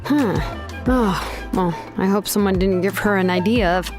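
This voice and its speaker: A woman's voice: mid-range voice, a little slow, natural voice. An actress playing an easygoing, practical young woman in a video game, casual and matter-of-fact. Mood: puzzled. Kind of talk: game character dialogue. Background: game music.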